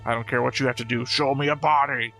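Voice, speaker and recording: Guy doing offhand reaction commentary, punchy bright voice, kinda wry, bit of music behind him. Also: mid-range voice, fairly even intonation, quick pace. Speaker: reaction show host.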